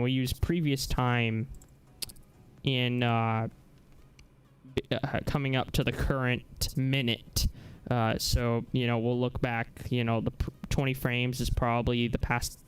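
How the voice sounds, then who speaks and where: A man hosting a casual live software demonstration, talking haltingly as he searches for words. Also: medium pitch, fairly even intonation, clean tone. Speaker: livestream host. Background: music.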